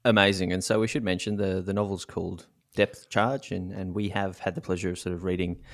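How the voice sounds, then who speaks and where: Podcast co-host, male: low, gravelly fry, dry studio-close sound, casual delivery. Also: level tone, brisk, no room sound. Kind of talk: casual podcast chat and introductions.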